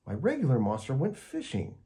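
A man's voice: medium pitch, wildly swooping pitch, brisk, dark tone, pitched above the performer's own voice. A man voicing a picky, playful young boy in a bedtime storybook. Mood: curious, indignant.

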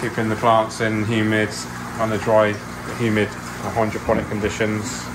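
A man's voice: fairly low pitch, monotone, moderate pace, noisy background. A man hosting an aquascaping vlog, giving a chatty, enthusiastic tour of an aquarium shop, recorded on a handheld camera. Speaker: aquascaping channel host.

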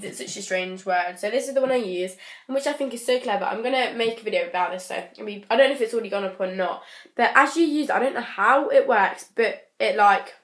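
Croaky young woman, chatty vlogger, handheld camera, middling pitch. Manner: rambling, chatty, lots of "like" and "so". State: hoarse, croaky from a cold. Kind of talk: casual vlog chat. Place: bedroom, then parked car. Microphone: handheld camera at arm's length.

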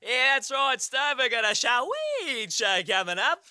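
A man voicing a crass, goofy cartoon talk show sidekick, excitable and clowning. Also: very high-pitched, unhurried, clean tone, no room sound, clean studio sound, heavily acted. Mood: upbeat, clowning.

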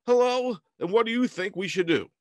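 A man's voice: sits high, animated, fairly quick, dry recording. A man playing a polite, earnest news anchor in a comedy parody, speaking in a formal, agreeable, mock-serious way. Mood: announcing. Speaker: news host.

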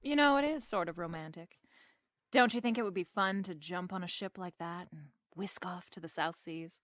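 A woman's voice: fairly low pitch, moderate pace, a bit bright, dry recording, natural voice. Woman playing a sassy, close-miked lady detective. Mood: flirtatious. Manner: snappy, wry, hard-boiled. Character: sassy, wisecracking, flirtatious female private detective. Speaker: lead actress. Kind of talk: remake of 1940s radio detective drama, with narration.

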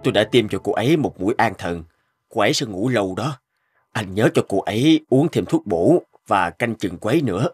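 An actor playing a calm, authoritative older doctor in a cartoon drama, measured and advisory. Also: male voice, lowish voice, breathy edge, faint music behind. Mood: serious, advising.

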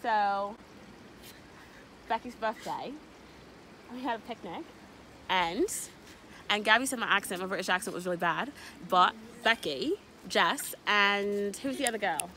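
A woman's voice: medium pitch, measured pace, pauses often, pushed and bright, a little hiss or hum, rough recording. A young woman vlogger chatting playfully outdoors by the sea, arguing and laughing, recorded on a handheld phone. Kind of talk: casual chat. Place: outdoor seaside walkway.

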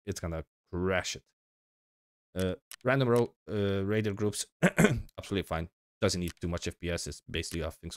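A young man with a cold and a slightly crackly voice, rambling casually with lots of fillers as he explains game settings over his gameplay. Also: deep voice. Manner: rambling, informal, frequent fillers and restarts. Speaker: gaming channel host.

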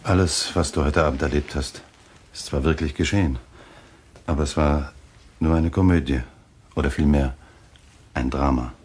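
An actor playing a vengeful, calculating husband in a German thriller radio play, with measured phrasing. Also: male voice, very deep voice, fairly even intonation, darkish tone, slightly rough. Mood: calm, self-satisfied. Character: vengeful, calculating husband who staged a cruel charade.

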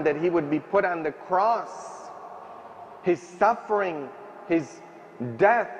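Priest guy preaching slowly, dull distant sound in a big echoey church. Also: fairly high pitch, slight background noise. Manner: slow, emphatic preaching with rising and falling emphasis. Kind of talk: sermon. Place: large stone church. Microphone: stand mic at ambo.

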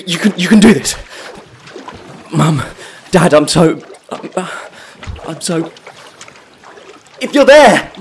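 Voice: mid-range voice, a little hiss or hum. An actor playing a wary, sarcastic human stranded by the sea in an audio play, with a dry, questioning manner. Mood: panicked, pleading.

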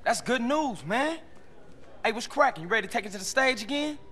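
A street-smart young gang member. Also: male voice, very high voice, expressive, brisk, sharp tone, exaggerated voice. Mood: friendly. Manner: casual slangy street talk. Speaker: game protagonist. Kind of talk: video game cutscene dialogue.

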